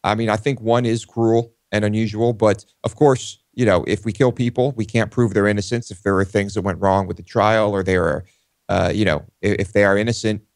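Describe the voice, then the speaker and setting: A man co-hosting a talk show, giving opinions casually and haltingly as he pieces his thoughts together. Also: lowish voice, fairly even intonation, dry recording, clean studio sound. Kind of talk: casual unscripted discussion.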